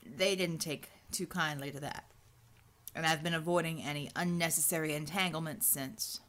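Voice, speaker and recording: A woman's voice: fairly even intonation, moderate pace, fairly bright tone. A woman playing a guarded, plain-spoken American man in an audiobook story, laconic and hesitant. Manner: laconic, hesitant, low-key.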